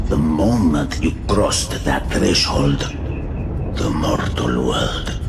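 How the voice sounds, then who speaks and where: An actor playing an ancient, menacing cult leader in a video game, speaking slowly and solemnly in a grandiose, archaic way with a deep gravelly voice. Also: male voice, full character voice. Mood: ominous. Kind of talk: dramatic video game voice acting.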